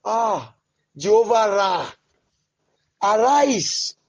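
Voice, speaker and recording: A man leading an impassioned, pleading prayer, swinging between loud cries and low murmurs. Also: sing-song, drawn-out words. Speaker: prayer leader. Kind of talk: fervent spoken prayer.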